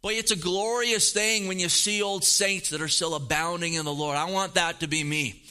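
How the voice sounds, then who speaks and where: A man in his fifties delivers a sermon with earnest, repetitive emphasis. His voice sits high for a man and rings very bright and smooth. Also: steady tone, moderate pace. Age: 50s. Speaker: pastor.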